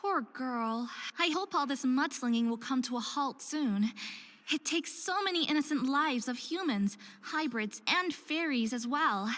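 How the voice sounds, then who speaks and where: A woman's voice: high-pitched, lively intonation, measured pace, fairly bright tone, no echo. A woman playing a troubled, self-hating young half-faerie in a visual novel, with earnest, emotional delivery. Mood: saddened. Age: young adult.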